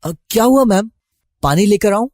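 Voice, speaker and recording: Casting: man voicing timid, dutiful young male student for story dialogue, higher voice, mellow, dry close recording. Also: animated, normal speed, no echo. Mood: concerned.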